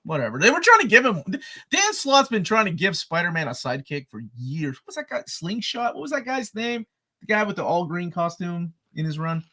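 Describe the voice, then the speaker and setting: A man's voice: high-pitched, fairly quick. A man co-hosting a live comics talk stream, reading chat questions aloud and chatting casually. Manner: animated, reads chat questions aloud, laughs often. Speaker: co-host of a comics show.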